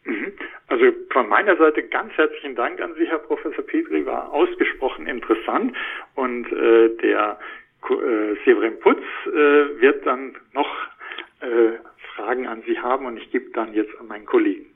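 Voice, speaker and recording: A man's voice: medium pitch, normal speed, gravelly, slightly rough. A man moderating an interview formally and politely, heard over narrow call-like audio.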